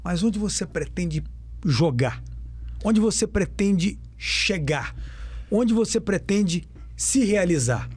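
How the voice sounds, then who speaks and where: A man's voice: sits high, animated, slow, darkish tone, slightly rough. A man interviewing a young footballer, asking emphatic questions and repeating them for effect. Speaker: sports radio interviewer.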